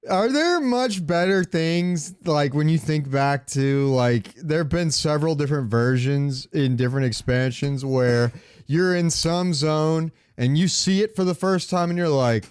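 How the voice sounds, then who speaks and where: A man's voice: fairly high pitch, unhurried, a little mellow, clear, resonant tone, no room sound, clean studio sound. A man co-hosting a gaming podcast in casual, rambling banter, often thinking aloud as he talks. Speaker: podcast co-host.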